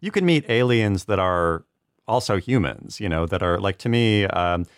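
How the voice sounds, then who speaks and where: Man, low voice, animated pitch, rambling, self-deprecating, dry close sound. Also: normal speed, clean tone, no room sound. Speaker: guest, TV comedy writer. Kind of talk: casual podcast chat.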